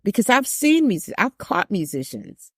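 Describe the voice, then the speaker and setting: A woman's voice: big pitch swings, moderate pace, no echo, studio quality. An older woman, a jazz singer, talking candidly as a podcast guest and stressing her points by repeating them. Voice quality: deep voice. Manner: emphatic, repeats phrases for stress.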